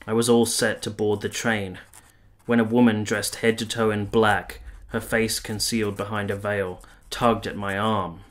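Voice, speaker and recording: A haunted soldier played by an actor recounts his memories in a low, very even voice. The delivery is grave, measured and literary, like first-person narration in a period radio play. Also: lightly acted.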